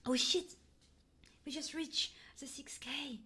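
Slow, whispering female ASMR streamer. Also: sits high, steady tone, bright, forceful tone. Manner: whispering and murmuring, close and breathy. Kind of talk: ASMR livestream chatting. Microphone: close ASMR microphone.

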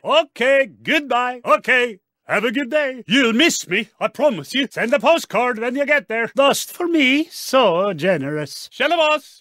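A bright, forceful cartoon rally car, with a Swedish accent and playful cockiness. The delivery is slow and swings widely in pitch, drawing out phrases like a cheerful game competitor. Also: male voice, dry recording, studio quality, exaggerated voice. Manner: playful, exclamatory, drawn-out phrasing. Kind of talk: animated video game character voice clips.

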